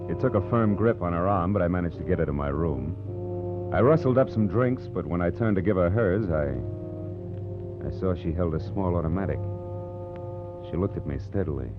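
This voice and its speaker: A male actor plays a hard-boiled American private eye from 1940s radio. The voice sits low, wry and wisecracking. The recording is dull and vintage, with music underneath. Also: normal speed, close to an everyday voice. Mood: tense narration. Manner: sardonic, clipped wisecracking. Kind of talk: 1940s radio detective drama acting.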